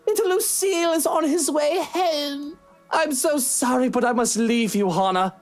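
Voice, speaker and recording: A woman voicing a whiny, hungover young gentleman who theatrically hams up his suffering in a visual novel game. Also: high-pitched, faint music behind, voice pushed up. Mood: mock dying. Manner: theatrical wailing, drawn-out.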